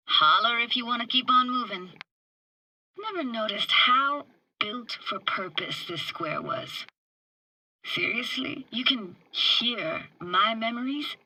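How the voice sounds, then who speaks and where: A woman's voice: medium pitch, pushed and bright, heavily acted, rough recording. A woman playing a wry, tender young streetwise techie in a video game, with casual, clipped, slangy delivery. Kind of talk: video game character dialogue.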